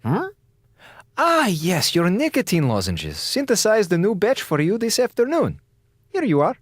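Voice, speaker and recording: Husky, pedantic space station doctor. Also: male voice, high-pitched, wildly swooping pitch, moderate pace, no room sound, clean studio sound, heavily acted. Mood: dry, stern. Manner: clipped, formal, stern. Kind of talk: radio drama acting.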